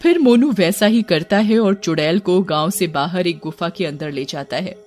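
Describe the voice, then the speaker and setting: Guy telling a scripted spooky bedtime story, pretty high voice, faint music behind. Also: moderate pace, a little mellow.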